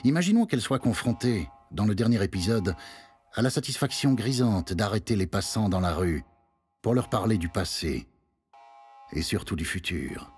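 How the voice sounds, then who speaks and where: The ironic male narrator of a satirical radio drama. His voice is lowish and very creaky, with lively, theatrical intonation. He delivers scripted lines wryly. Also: moderate pace, soft background music.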